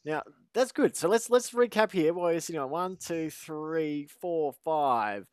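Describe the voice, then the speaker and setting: A man's voice: fairly high pitch, lively intonation, measured pace, clear, resonant tone, no room sound, studio quality. An Australian man playfully hosting a casual quiz among friends, drawing out his announcements. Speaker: podcast quizmaster.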